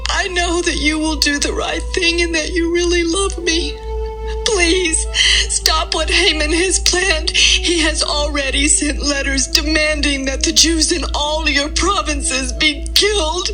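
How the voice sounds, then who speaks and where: High-voiced, pleading queen. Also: steady tone, over music. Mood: tearful pleading. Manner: slow, pleading delivery. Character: young pleading queen, earnest, tearful, devoted to her people. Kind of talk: dramatised audio Bible acting.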